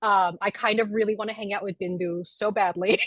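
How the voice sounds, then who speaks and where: An American woman author in casual conversation at a library event, chatty and animated, often laughing. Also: mid-range voice, moderate pace, no echo. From United States.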